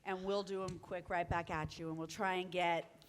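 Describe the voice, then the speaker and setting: A woman's voice: lowish voice, little pitch movement, normal speed. A woman speaking casually on a convention panel, emphatic and direct as she organizes the audience questions. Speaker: panelist taking charge of questions. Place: convention meeting room with audience. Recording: live convention room recording.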